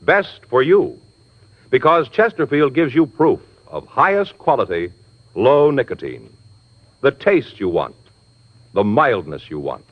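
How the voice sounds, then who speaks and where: A man's voice: mid-range voice, sing-song, measured pace. A man with an American accent delivering scripted, emphatic 1950s radio announcements. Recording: dull old radio recording with music.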